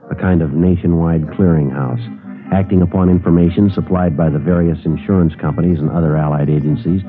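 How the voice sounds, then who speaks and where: Male actor as police sergeant narrator, 1950s radio. Low-pitched, fast, matter-of-fact, deadpan; phone-like old broadcast sound. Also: natural voice.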